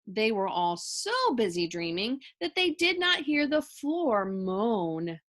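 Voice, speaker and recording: A woman reading a children's story aloud with expressive narration. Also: fairly high pitch, slow pace.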